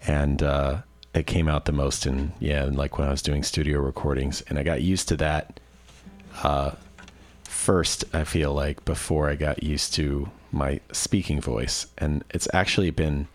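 A male radio host with a very deep voice asks eager, curious questions in a casual way. He strings several questions together and keeps the talk loose and chatty. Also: level tone, measured pace, no room sound. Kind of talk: casual interview chat.

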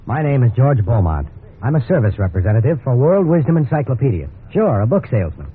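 Wry American husband, old tinny radio. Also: male voice, mid-range voice, big pitch swings, fast talker, husky. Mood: wry, confident. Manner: wry, breezy, conversational. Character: cheerful, incredulous suburban husband, an encyclopedia salesman. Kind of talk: 1950s radio drama acting with wry first-person narration. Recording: tinny, hissy 1950s radio recording.

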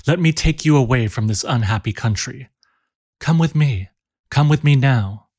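A man's voice: medium pitch, lively intonation, moderate pace, a little mellow, dry recording, pitched above the performer's own voice, close to an everyday voice. A man playing a passionate young man who pleads with the woman he loves, staying close to his own reading voice. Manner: pleading, intense. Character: passionate young man, eager sailor lover.

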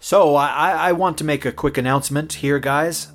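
An actor playing a rookie late-night radio DJ in a horror audio drama, jittery, hesitant and prone to stammering. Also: male voice, a little high, fairly quick, a bit bright, faint music behind, natural voice. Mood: flustered, apologetic.

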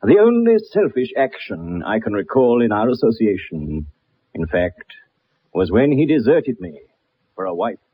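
An actor playing a haughty, precise gentleman detective with a British accent in a radio drama, speaking in formal, pompous phrases. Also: on the low side, big pitch swings, measured pace, husky, exaggerated voice. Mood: reflective, dry. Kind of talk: radio drama acting with first-person narration. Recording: dull lo-fi old radio recording.

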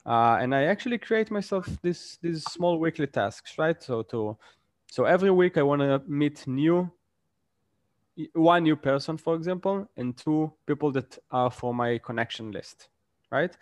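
A man's voice: on the high side, expressive, unhurried, dry recording, studio quality. A man, a guest in a casual interview, explains his points with examples and often checks in with "right?".

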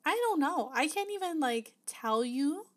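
A woman's voice: sits high, animated, a little slow. A woman hosting a casual solo podcast, chatty and upbeat, her voice still slightly nasal and not fully back after an illness. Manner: chatty, upbeat, frequent laughs and fillers. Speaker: podcast host.

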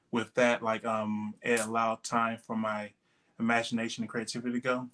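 A man in his late twenties from Texas, a podcast guest talking in a relaxed, rambling way with many fillers. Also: lowish voice. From Texas, USA. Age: 20s. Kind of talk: casual interview talk.

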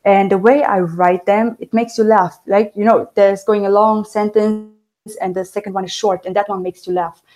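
Mellow-voiced woman, non-native English speaker. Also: medium pitch, steady tone, faint music behind. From Germany. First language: Romanian. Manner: halting, restarts and self-corrections. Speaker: interview guest, novelist. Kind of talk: casual interview talk.

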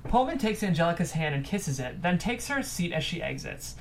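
A woman's voice: on the low side, sing-song, brisk, a little hiss or hum. A woman reading stage directions from a script as the narrator.

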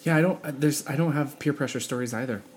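A woman in her thirties co-hosting a podcast, chatting casually in a halting way and often interrupting herself. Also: very deep voice, a little mellow, slight background noise. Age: 30s. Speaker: podcast co-host. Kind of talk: casual chat.

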